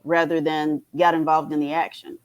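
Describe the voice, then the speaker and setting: A man with a notably high voice speaks on a panel. His delivery is casual and conversational. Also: completely flat delivery, moderate pace, clear, resonant tone. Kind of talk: casual chat.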